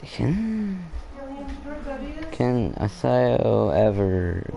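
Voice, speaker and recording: A young man giving casual, halting gameplay commentary, thinking aloud as he plays. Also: mid-range voice, big pitch swings, gravelly, dark tone. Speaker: gaming video host.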